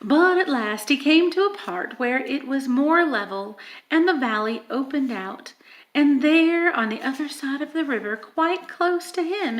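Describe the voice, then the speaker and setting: A woman reading a children's story aloud in an expressive, dramatic manner, with emphatic stresses and hushed moments. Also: high-pitched. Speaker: audiobook reader.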